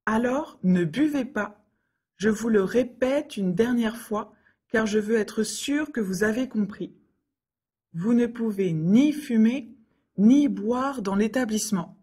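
Woman, breathy tone, careful pronunciation, lesson dialogue reader. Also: on the high side, slow, mellow. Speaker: dialogue reader for French learners. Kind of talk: scripted learner dialogues.

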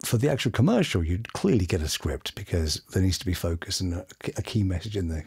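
Animated British man, swooping pitch. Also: quick pace, slightly rough. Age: middle-aged. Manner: expressive, dramatic storytelling. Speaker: voice actor guest. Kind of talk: animated anecdote in a casual interview. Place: small home studio, indoors.

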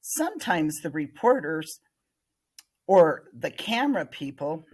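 Husky older American woman reading aloud. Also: low voice, sing-song, slow pace, darkish tone. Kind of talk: expressive children's read-aloud. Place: indoor room, at a computer. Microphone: webcam screen recording.

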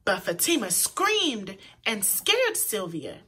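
A woman reading a children's picture book aloud in an expressive, deliberate way, as a storyteller for young listeners. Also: mid-range voice, breathy edge. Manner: pauses to point out words to young listeners; animated, deliberate delivery for children.

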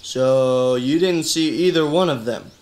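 An actor voicing a skeptical, hard-boiled private eye with dry, deadpan delivery in a noir detective radio play. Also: male voice, medium pitch, measured pace, close to an everyday voice. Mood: dry, probing. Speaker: detective and narrator.

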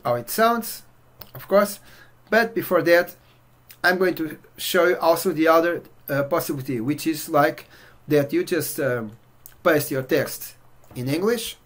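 A middle-aged man from Portugal with a Portuguese accent, walking through a software demo in a relaxed way with many fillers. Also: a little high. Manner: relaxed, filler-heavy walkthrough. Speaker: software reviewer. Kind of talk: casual screen-share demo. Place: indoor room at home.

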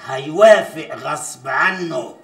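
A man's voice: fairly high pitch, slow, creaky. An actor playing an Egyptian TV channel employee, a formal, hesitant spokesman with a deferential manner, in a comedy series. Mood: sore, stubborn. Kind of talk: Arabic comedy series acting. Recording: echoey room with background noise.

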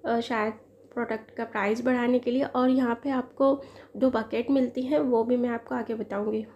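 A woman's voice: on the high side, dark tone, slight background noise. A woman in her thirties, a lifestyle vlogger who chats to viewers while unboxing a product at home, admitting she is no expert. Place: living room at home, indoors.

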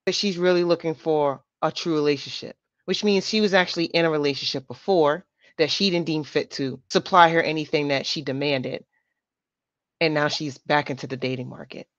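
A woman's voice: deep voice, a bit bright, dry recording. A woman hosting a reaction channel, giving emphatic, lecturing commentary on dating. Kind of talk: opinionated commentary. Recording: dull lo-fi dry voice.

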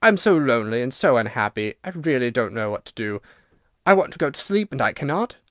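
A man's voice: medium pitch, sing-song, fairly quick, fairly bright tone, dry recording. Casting: male reader playing an ancient storybook ghost, mournful, dreamy, dry close sound. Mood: lonely, pleading. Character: weary, sorrowful old male ghost, centuries old. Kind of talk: storybook narration character.

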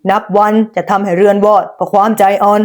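A woman's voice: medium pitch, flat delivery, fast talker, darkish tone, natural voice. A woman voicing a stingy, stern old mother-in-law in a folk tale, with a hard, scolding manner. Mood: harsh, scolding.

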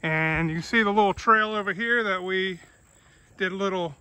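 Family vlogger dad, bright and forward voice, chatty trail narration on a handheld camera. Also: male voice, sits high, normal speed. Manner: chatty, points things out as he walks. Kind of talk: casual vlog narration while hiking. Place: outdoor hiking trail in a coastal park.

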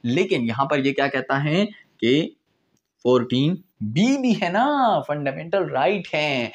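Male lecturer, twenties, Pakistani accent, fairly high voice, informal explanatory delivery with English terms mixed in. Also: expressive, slow, lo-fi sound. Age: 20s. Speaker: law lecturer. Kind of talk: informal lecture with acted-out examples. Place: small indoor room in front of a whiteboard.

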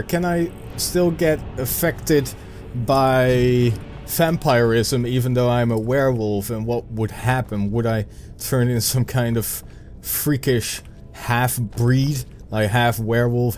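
Unhurried Dutch man narrating his gameplay. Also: mid-range voice, a little mellow. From Netherlands. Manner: chatty, muttering to himself, self-mocking. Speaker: gameplay commentator. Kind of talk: casual let's play commentary.

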